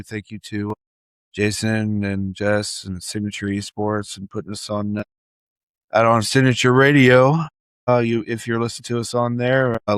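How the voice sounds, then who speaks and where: A man's voice: fairly low pitch, clear, resonant tone, no echo. A man hosting a podcast with casual, unscripted talk. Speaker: podcast host.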